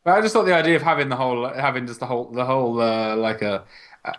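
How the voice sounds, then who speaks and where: A man's voice: mid-range voice, fast. Rambling man chatting on a podcast. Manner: rambling, sweary. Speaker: podcast host. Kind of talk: casual banter between friends.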